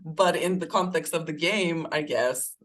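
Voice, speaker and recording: A woman's voice: low-pitched, a little slow. A woman from Bulgaria, a life coach guest on a podcast, talking casually and reflectively, with frequent laughs and filler words. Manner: reflective, chatty, laughs often, many fillers. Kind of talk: casual conversation.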